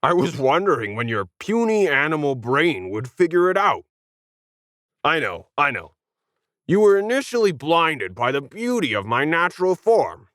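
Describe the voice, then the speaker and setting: A smug tentacled alien played by a man from a science fiction story, whiny and full of sarcasm. The pitch swings freely as it looks down on humans, in a dry, close recording. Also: very animated, normal speed, a bit bright, no room sound. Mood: smug, mocking. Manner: condescending, sarcastic.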